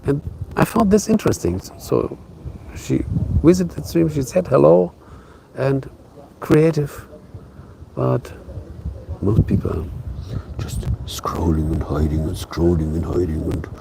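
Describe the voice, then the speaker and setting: Man, English, slow, rough-edged voice; halting casual streamer talk from a phone outdoors. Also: medium pitch, animated, noisy background. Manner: hesitant, halting, many fillers and restarts. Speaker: live streamer. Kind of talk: casual live stream chat. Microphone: phone on a tripod.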